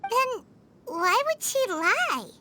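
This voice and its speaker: Game fairy companion: squeaky child voice, very animated, earnest and eager. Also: female voice, slow, full character voice. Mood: puzzled. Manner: perky, earnest, speaks as "we". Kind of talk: video game voice acting. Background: music.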